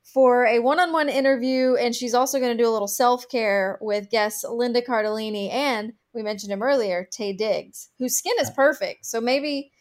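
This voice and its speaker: A woman co-hosting a podcast, briskly running through upcoming shows with enthusiasm. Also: fairly high pitch. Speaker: podcast co-host. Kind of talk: upbeat entertainment news rundown.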